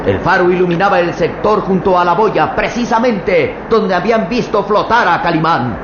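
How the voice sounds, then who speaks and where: A male narrator tells a radio adventure with tense, suspense-building delivery. His voice sits fairly high. The recording is roomy and dull, with loud hiss throughout. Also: normal speed. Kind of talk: dramatic radio serial narration. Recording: echoey, muffled old radio with loud hiss.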